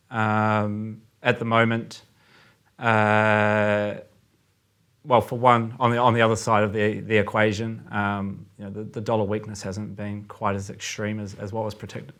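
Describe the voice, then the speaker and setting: New Zealand man, low even voice. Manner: measured, thinks aloud with hedging phrases. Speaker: FX trader, podcast guest. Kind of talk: casual market discussion.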